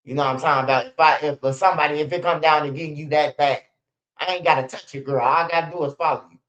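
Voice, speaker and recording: A man in his forties talks in a slightly high voice, rambling through street stories on a livestream. He is blunt and profane and keeps dropping "bro" and "man." The sound is dull and lo-fi. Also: fairly even intonation, very fast, fairly bright tone. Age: 40s. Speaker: host of a street news channel. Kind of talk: casual livestream storytelling.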